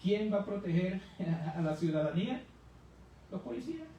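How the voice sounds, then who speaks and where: A man with a high, mellow voice teaches scripture in a conversational, didactic way, posing questions as he explains. He is recorded at a distance in a heavily reverberant room. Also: expressive, normal speed, lo-fi sound. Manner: didactic, poses rhetorical questions to listeners. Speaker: church Bible teacher. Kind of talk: conversational expository Bible teaching. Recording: very echoey room, distant mic, faint noise.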